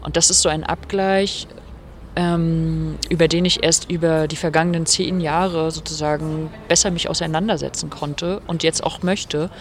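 A woman's voice: deep voice, steady tone, normal speed, a little hiss or hum. A middle-aged woman from northern Germany giving reflective, articulate interview answers in long, explanatory sentences. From Rostock, northern Germany. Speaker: interviewed author.

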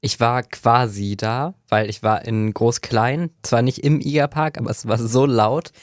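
A man's voice: mid-range voice, fairly even intonation, measured pace, no room sound, clean studio sound. A man talking in a casual, conversational way as a guest on a radio show. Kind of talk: casual chat. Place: dry radio studio.

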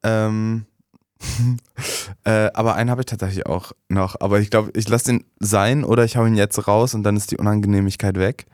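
A man's voice: on the low side, steady tone, normal speed, darkish tone, no echo, studio quality. A young man hosting a radio show, bantering casually with friends in a slangy, loose, hesitant way.

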